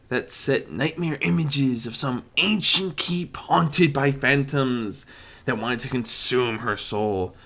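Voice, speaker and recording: A narrator reading a fan fiction story aloud in an expressive storytelling style. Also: medium pitch. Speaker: audiobook narrator.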